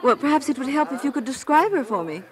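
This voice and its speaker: An actress playing a poised, patient, shrewd saleslady, measured and polite, in 1940s radio drama style. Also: sits high, very animated, crowd noise. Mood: polite, helpful.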